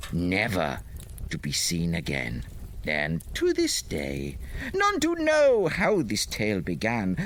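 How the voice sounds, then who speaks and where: A man's voice: very high voice, a bit bright, full character voice. A man playing a theatrical, doddery old storyteller of mock-gothic tall tales, hammy and dramatic.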